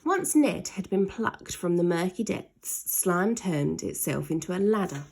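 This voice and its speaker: A woman's voice: fairly low pitch, lively intonation, unhurried, vocal fry, a little mellow, a little hiss or hum. A woman reading a children's story aloud expressively, stretching key words for dramatic emphasis.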